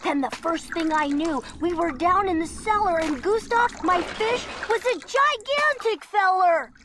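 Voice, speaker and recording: A woman reading a children's picture book aloud in an expressive, theatrical storytelling manner, over background noise. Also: extremely high pitch, measured pace. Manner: theatrical, dramatic delivery for children.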